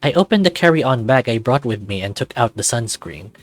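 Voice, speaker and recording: A man's voice: mid-range voice, animated, a little fast, faint music behind. A man reading a visual novel aloud as its player, mixing scripted narration with casual asides.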